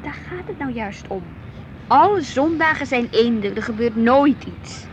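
An actress playing a wistful, discontented married housewife in a radio play. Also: female voice, fairly high pitch, measured pace, lo-fi sound, a little hiss or hum. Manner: expressive, brooding, plaintive.

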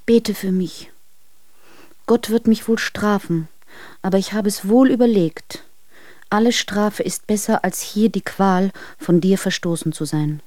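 Actress as lovelorn wife in a radio play, despairing and imploring, dark soft timbre, very dry close sound. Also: female voice, mid-range voice, measured pace, no room sound, lightly acted. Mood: despairing, pleading. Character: despairing, lovelorn wife pleading in farewell letters. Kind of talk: German radio drama, intimate monologue.

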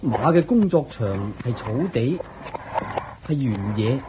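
A man with a Hong Kong Cantonese accent playing an earnest army general devoted to his horses in a radio drama, forceful and full of questions. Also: medium pitch, animated, takes it slowly, noisy background. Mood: puzzled, surprised.

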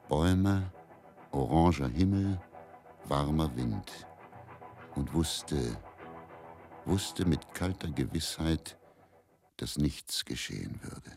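A man playing a weary, fatalistic middle-aged faith healer in a radio drama monologue, telling his story in a measured, brooding way. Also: very deep voice, expressive, gravelly, a little mellow, faint music behind, heavily acted. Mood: fatalistic, haunted.

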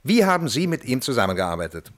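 Schauspieler als nüchtern bohrender Anwalt in mittlerer Stimmlage. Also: male voice, mid-range voice, very animated, fast talker, close to an everyday voice. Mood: sober, probing. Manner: formal, matter-of-fact, insistent. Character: sober, probing male lawyer questioning a witness. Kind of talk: German radio play, hearing interrogation.

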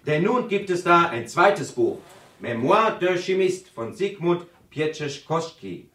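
A man's voice: a little high, expressive, slow, a bit bright. An actor playing a zealous, argumentative male university student who lectures emphatically in a radio drama seminar. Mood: excited, emphatic. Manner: lecturing, emphatic, with theatrical build-ups. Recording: roomy, slightly distant studio acoustic.

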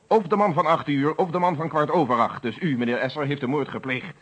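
Stern, methodical police inspector. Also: male voice, fairly high pitch, brisk, breathy edge. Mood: accusing, insistent. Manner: formal, insistent, probing. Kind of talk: radio play acting.

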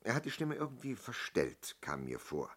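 An actor in a German radio play playing a polite older man giving a statement, husky and halting, courteously over-explaining. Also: mid-range voice, huge pitch swings, a bit bright, full character voice. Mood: polite, hesitant. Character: polite, hesitant older male robbery victim giving a statement.

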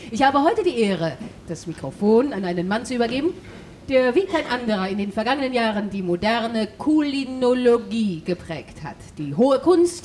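An actress playing a gracious gala hostess making a formal, ceremonious announcement in a German radio drama. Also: female voice, medium pitch, darkish tone, a little hiss or hum, natural voice. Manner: ceremonious, measured build-up. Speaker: master of ceremonies.

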